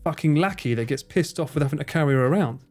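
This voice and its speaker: A young man hosting a live stream, cracking crude jokes and talking to his chat between bursts of laughter. His voice sits a little higher than most men's, with faint music playing underneath. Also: fast talker, darkish tone. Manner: sweary, jokey, talks to chat and laughs often. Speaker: livestreamer.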